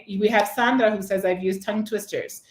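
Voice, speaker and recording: A woman's voice: medium pitch, fairly even intonation, normal speed. A woman giving a live online training for teachers, engaging the audience with questions and frequent "right?" check-ins. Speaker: teacher trainer presenting a webinar. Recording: roomy, echoey webinar audio.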